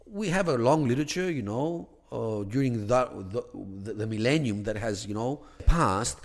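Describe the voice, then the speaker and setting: A man, a theology scholar on a podcast, talking through ideas in a measured, searching way with plenty of restarts. His voice has a gravelly edge. The room adds a long reverb tail. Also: mid-range voice, lively intonation. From Greece. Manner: thoughtful, many restarts and "you know" fillers, rising emphasis on key points. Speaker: podcast guest, theology academic. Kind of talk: conversational explanation.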